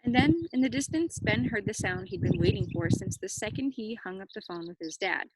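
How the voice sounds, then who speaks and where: A woman reading a children's story aloud, with expressive dramatic emphasis and brief explanatory asides. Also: medium pitch, fairly quick. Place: outdoors near cows. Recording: faint background noise.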